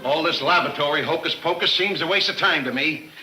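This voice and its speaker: A man's voice: fairly high pitch, fast, over music, close to an everyday voice. A man playing a skeptical, impatient mayor, blustery and dismissive, in 1940s crime serial style. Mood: scoffing. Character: skeptical, impatient male mayor scoffing at police methods. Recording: echoey old 1940s film soundtrack.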